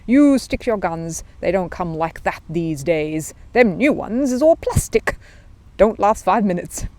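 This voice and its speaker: Opinionated London stallholder for a children's tale, read by a woman: grumbling, colloquial, pitch leaping about. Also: lively intonation, a little slow, a little mellow. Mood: emphatic, advising. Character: grumbling, opinionated London market traders, men and women. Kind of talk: children's storybook character voice.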